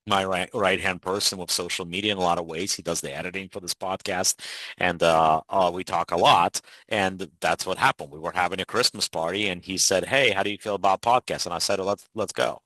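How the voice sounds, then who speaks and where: A man's voice: low-pitched, sharp tone, no room sound, rough recording. A man from Ukraine hosting a podcast, talking in an earnest, persuasive way with frequent restarts. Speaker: podcast host. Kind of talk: casual interview chat.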